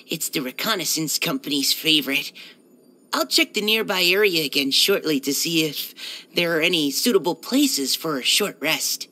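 Shy young army scout guy from a video game, bright crisp voice, super polite n eager to help. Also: low-pitched, lively intonation, moderate pace, exaggerated voice. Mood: polite, helpful. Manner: hesitant, soft-spoken politeness, eager to help. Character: polite, timid, earnest young male military surveyor. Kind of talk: video game character voice-over.

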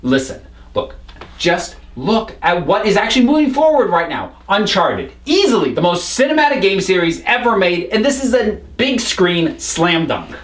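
A man's voice: high voice, huge pitch swings, normal speed. A young man acting an earnest, stubborn geek who argues insistently in a scripted comedy sketch. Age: young adult. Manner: insistent, emphatic. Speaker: actor in a web comedy sketch. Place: small furnished room indoors. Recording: roomy, mic some distance away.

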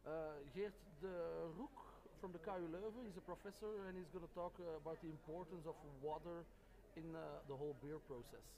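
A man in his forties whose first language is Dutch, co-hosting a casual chat in an outdoor bar courtyard. He speaks English haltingly and searches for words and names. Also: on the high side, steady tone, warm tone, rough recording. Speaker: podcast co-host. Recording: outdoor bar with crowd chatter behind.

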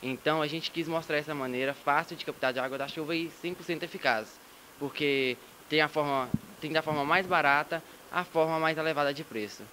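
A man's voice: on the high side, steady tone, a little fast, bright, forceful tone, a little hiss or hum, poor audio. A teenage boy explaining his group's rainwater project. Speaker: student presenter.

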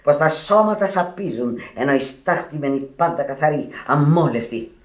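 Passionate Sicilian widow, roomy sound. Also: female voice, low voice, expressive. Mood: confiding, intense. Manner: emotional, effusive, Italian words mixed in. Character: passionate Sicilian immigrant widow, proud and grieving. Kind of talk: Greek radio theatre acting. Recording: roomy old radio drama recording.

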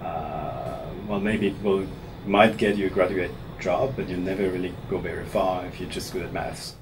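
A man from Switzerland in his thirties, a professor answering interview questions in a small office in a measured way, often restarting his words. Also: deep voice, fairly even intonation, over loud music, low-quality recording. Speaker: actuarial professor being interviewed. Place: small office meeting room, seated at a table. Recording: room recording with audible air conditioning hum.

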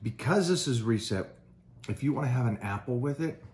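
A middle-aged man in casual chat at his home kitchen counter, calmly explaining his meal plan between bites. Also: medium pitch, brisk, slight background noise. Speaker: bodybuilder vlogger. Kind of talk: casual chat over breakfast.

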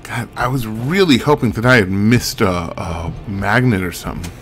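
A man's voice: lowish voice, normal speed, slight background noise. A man giving casual, rambling video game commentary in a wry, musing way, thinking aloud. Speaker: game streamer.

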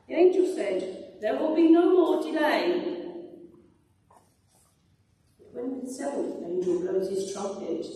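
A woman's voice: on the high side, warm tone. An older woman reading scripture aloud at a church lectern. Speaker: scripture reader. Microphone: microphone on a boom stand. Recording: very echoey church, distant sound.

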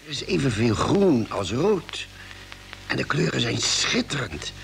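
An awestruck, enthusiastic ship's doctor in an old radio serial. His creaky voice swings widely in pitch as he marvels. There is faint music behind him. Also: sing-song, unhurried. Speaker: radio drama actor. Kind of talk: vintage Dutch radio serial acting.

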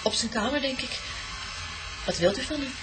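A woman's voice: high-pitched, lively intonation, normal speed, music in the background. An actress playing an indignant, protective mother who runs a salon, in a radio drama. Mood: wary.

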